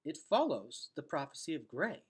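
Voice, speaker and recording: A man's voice: fairly high pitch, sing-song, moderate pace, husky, voice pushed up, full character voice. A man voicing a thoughtful young queen in a storybook, with formal, stilted phrasing.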